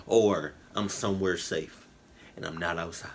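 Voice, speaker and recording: A tired man talks to his viewers at an unhurried, drawn-out pace. His voice sits a little low, and his casual reaction commentary wanders through slang, fillers and restarts. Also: steady tone, a little hiss or hum. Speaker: YouTube reactor. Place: at home, indoors.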